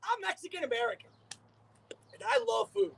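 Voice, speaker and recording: Actor doing a wry comic bit, very high voice, distant mic. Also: male voice, very animated, brisk, gravelly, heavy background noise, heavily acted. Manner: comic stand-up delivery. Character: joking man torn between two cultures. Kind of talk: comic stage monologue.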